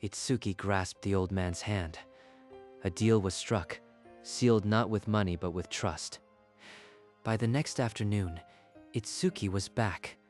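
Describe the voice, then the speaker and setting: A man narrating a manga dub in an earnest, steady storytelling voice. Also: lowish voice. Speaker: narrator and lead voice actor.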